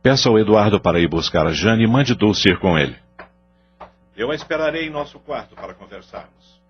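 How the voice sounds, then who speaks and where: A man's voice: fairly low pitch, lively intonation, fairly quick, husky, highs cut off, faint music behind. A man acting a troubled, remorseful husband and father in an audio soap opera, brooding and emphatic. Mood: firm.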